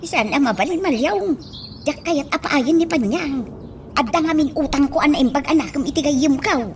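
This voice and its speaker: A woman's voice: high voice, normal speed, far from the mic, a little hiss or hum, close to an everyday voice. A woman playing a coy young household worker in an Ilocano radio comedy drama. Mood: coy, pleading.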